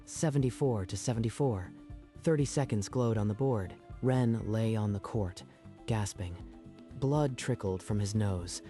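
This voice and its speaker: A man with a low, soft-edged voice delivers dramatic scripted narration over faint background music. He sounds like the storyteller of an action tale. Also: unhurried.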